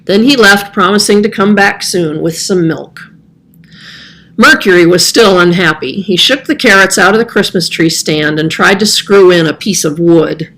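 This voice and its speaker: Bright-voiced woman narrating a storybook. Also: on the low side, normal speed. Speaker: storybook reader. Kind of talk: scripted children's story narration.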